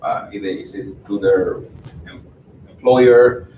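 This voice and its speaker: A man's voice: on the low side, fairly even intonation, takes it slowly, echoey room, far from the mic, muffled recording, slight background noise. Man with a Spanish accent, hesitant. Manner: hesitant, full of fillers. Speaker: sales agent. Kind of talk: answering questions in a meeting.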